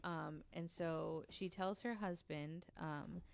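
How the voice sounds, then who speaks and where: A woman's voice: sits low, slow, vocal fry, slight background noise. A woman co-hosting a podcast, telling a long story in a casual way, with many ums. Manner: narrates at length with frequent ums, reads quotes aloud.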